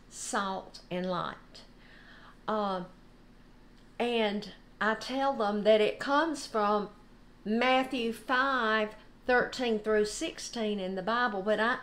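An older woman giving an informal, unhurried devotional talk. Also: mid-range voice, fairly bright tone. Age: older, about 70s. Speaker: devotional host. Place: home kitchen, indoors.

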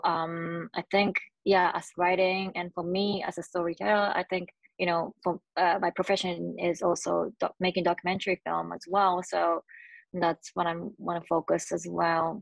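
A Japanese woman in her thirties on a video call, speaking English as a second language with many restarts and searching pauses. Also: fairly low pitch, no echo, low-quality recording. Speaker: panelist. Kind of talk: panel discussion over video call. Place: small room indoors. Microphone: earbuds on a video call.

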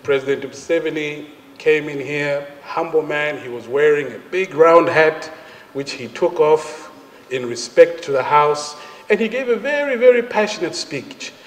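A man's voice: fairly high pitch, unhurried. A man in his forties, a legislator, giving a formal speech in a parliament chamber, earnest and reminiscing. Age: 40s. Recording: echoey hall.

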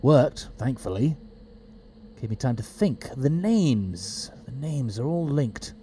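Man acting as clever eccentric time traveller, wry, animated swooping pitch, audio drama, faint noisy ambience. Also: takes it slowly. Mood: wry, thinking aloud.

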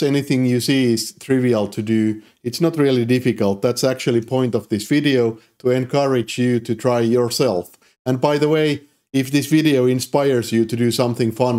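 A man's voice: mid-range voice, normal speed. A man in his thirties with a Finnish accent, casually walking through his code in a tutorial, with frequent fillers. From Finland. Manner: relaxed, explanatory, frequent "uh" fillers. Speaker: programming YouTuber. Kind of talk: casual screen-recorded coding tutorial. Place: home office, indoors.

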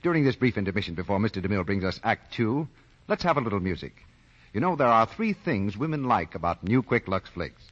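An American man announcing and pitching for a sponsor in 1940s radio style. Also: big pitch swings, a little fast, husky. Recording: muffled vintage radio broadcast.

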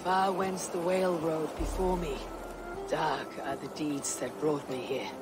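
A woman playing a stoic, weathered Viking warrior in a game, speaking in a measured, grave and formal way. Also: low voice, steady tone, loud music behind the voice. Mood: resolute. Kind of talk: dramatic game cutscene acting, storytelling.

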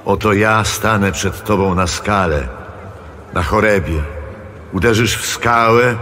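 A deep, echoing voice of God. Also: male voice, sharp tone, slight background noise. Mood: solemn command. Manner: solemn, measured commands. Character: God, calm commanding divine male voice. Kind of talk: biblical radio drama.

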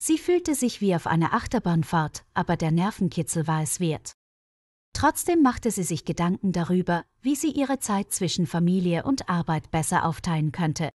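A woman reads a scripted story for language learners, phrasing each sentence clearly. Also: on the low side, sing-song, normal speed, a little mellow, dry recording, studio quality. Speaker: narrator of language-learning stories. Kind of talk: scripted narration.

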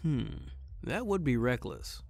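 Awkward noir detective actor, mid-pitched, stiff and exact, animated intonation, dry studio sound. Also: slow, vocal fry, no room sound, heavily acted. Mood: awkward, polite. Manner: stiff, formal, precise wording. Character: formal, socially awkward detective narrator, reciting data robotically. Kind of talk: audio drama acting and noir narration.

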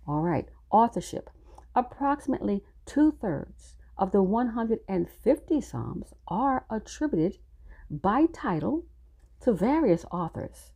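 A woman's voice: fairly low pitch, warm tone. A woman teaching a Bible study class, reading scripture aloud in an earnest, instructive way and addressing her listeners directly.

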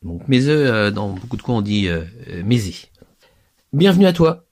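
A man's voice: fairly low pitch, very animated, normal speed, darkish tone. A man teaching a language lesson over slides, explaining step by step with fillers and restarts.

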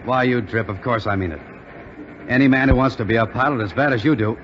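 An actor playing a brash, friendly young army cadet in slangy 1940s radio drama style. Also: male voice, mid-range voice, quick pace, natural voice. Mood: teasing, encouraging. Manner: slangy, ribbing. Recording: dull 1940s radio broadcast, background noise.

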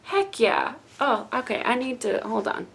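Hoarse young woman gushing on webcam. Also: on the high side, sing-song, unhurried, fairly bright tone. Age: young adult. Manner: chatty and gushing. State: hoarse, losing her voice. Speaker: YouTube reaction host. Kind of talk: casual trailer reaction. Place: indoor room with bookshelves. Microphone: webcam at desk.

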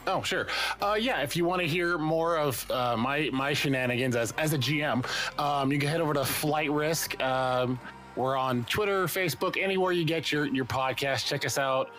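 A man's voice: a little high, normal speed, a bit bright, soft background music. A man, a tabletop game player, talking casually and informally. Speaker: player and guest game master. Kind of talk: casual chat.

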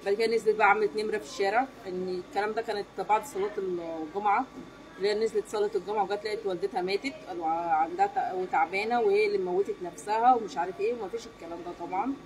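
A woman's voice: medium pitch, fairly even intonation, rapid-fire, a little mellow, low-quality recording. A woman in her thirties with an Egyptian accent answers as an eyewitness in a street interview, in a matter-of-fact way. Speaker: neighbour giving eyewitness account. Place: outdoors against a brick wall in a street. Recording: voices around, outdoor street recording.

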